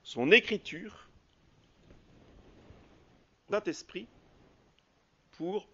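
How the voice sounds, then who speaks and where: A French-speaking priest preaching in a slightly high voice, pausing on fillers and starting phrases over as he explains. The recording is dull and lo-fi. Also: sharp tone, a little hiss or hum. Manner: hesitant, halting with many fillers and restarts. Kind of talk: sermon.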